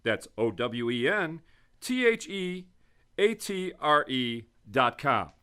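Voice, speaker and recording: A man reading a theater advertisement as announcer, in a lively, punchy manner. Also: medium pitch, takes it slowly, smooth.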